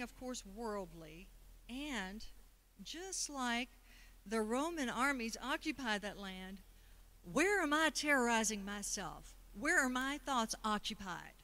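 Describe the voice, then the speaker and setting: A woman speaking to a congregation in a relaxed, conversational way. Her voice sits a little high and sounds bright and forward. She keeps asking her listeners questions as she goes. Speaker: speaker at a Sunday service. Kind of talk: sermon talk.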